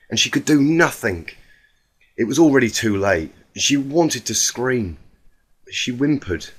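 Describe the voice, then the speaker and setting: A man's voice: on the low side, breathy edge. A man reading a fan fiction story aloud as dramatic narration, with an emotive, emphatic delivery.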